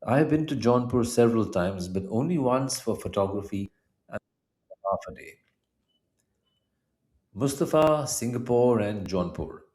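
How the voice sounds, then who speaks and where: A middle-aged man from India with an Indian accent and Punjabi as his mother tongue, narrating a scripted personal essay in measured, formal phrasing. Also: medium pitch, animated, a little mellow.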